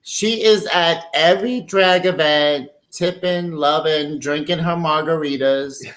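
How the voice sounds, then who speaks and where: A man's voice: on the high side, unhurried, fairly bright tone. A man appearing as a drag queen guest, cheeky and chatty in a casual catch-up.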